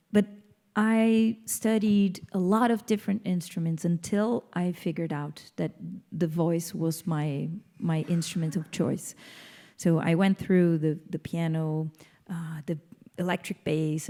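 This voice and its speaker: A woman's voice: fairly low pitch, warm tone. A woman from Brazil with a Brazilian Portuguese accent, speaking English as a guest musician in an onstage interview, explaining her ideas thoughtfully with small restarts.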